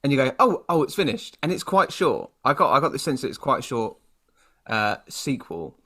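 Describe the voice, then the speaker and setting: A British man with a bright, sharp-edged voice at a middling pitch. He co-hosts a podcast, talking casually and ramblingly with a self-deprecating streak. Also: sing-song, moderate pace. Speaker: podcast co-host. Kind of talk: casual chat.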